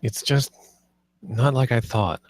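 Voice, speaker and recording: A man's voice: medium pitch, takes it slowly, dry recording, lightly acted. A man playing a conflicted, guilt-ridden young man in a drama table read, hesitant and earnest. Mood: defensive.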